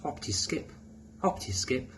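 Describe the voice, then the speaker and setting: A male teacher reading a children's story aloud as a storybook narrator. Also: moderate pace. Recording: clearly noisy recording, ambient background sound.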